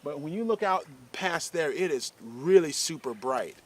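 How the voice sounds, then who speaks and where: Relaxed man outdoors. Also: on the high side, normal speed. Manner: relaxed, rambling, demonstrative. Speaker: fishing video creator. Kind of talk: casual gear advice vlog. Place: outdoors under a shade tree. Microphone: shotgun mic on camera.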